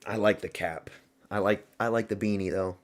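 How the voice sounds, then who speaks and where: A man's voice: lowish voice, steady tone, normal speed. A man from the American South hosting a fight watch party stream, talking loosely and profanely with his viewers. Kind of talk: casual live-stream commentary.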